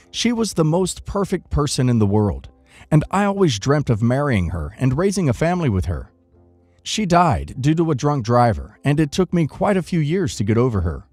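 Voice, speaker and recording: A man narrating first-person online stories from a script in an expressive reading manner. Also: mid-range voice, moderate pace, no room sound, faint music behind.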